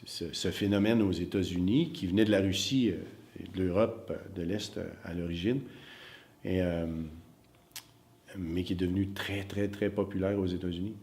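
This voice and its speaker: Low-voiced man, hesitant. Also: steady tone, normal speed, darkish tone. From Northern Ontario. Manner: hesitant, many fillers and repeated words. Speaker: acting teacher. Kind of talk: reflective interview answers.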